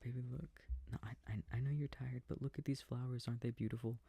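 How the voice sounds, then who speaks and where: A man playing an affectionate boyfriend in an intimate ASMR sleep-aid roleplay, murmuring and rambling softly with a breathy voice, close to the microphone. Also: medium pitch, fairly even intonation, quick pace, dry recording. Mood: tender, soothing. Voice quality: soft, husky, breathy. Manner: murmured, rambling, gently bemused.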